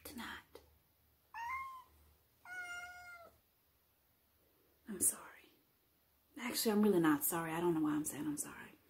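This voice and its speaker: A woman voicing a calm, menacing killer who confesses in a husky whisper. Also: lowish voice, slight background noise, voice pushed down, natural voice. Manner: hushed, unbothered whisper.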